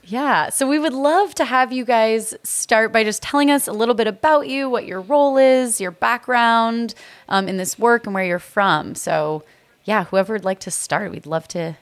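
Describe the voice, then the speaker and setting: A woman's voice: a little high, moderate pace. A woman co-hosting a podcast, welcoming guests and asking them to introduce themselves. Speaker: podcast co-host.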